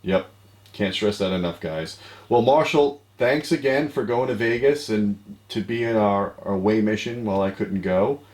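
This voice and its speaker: A man's voice: on the low side, moderate pace, darkish tone. An American man in his forties hosting a casual video tech show in a conversational style. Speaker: show host. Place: home office.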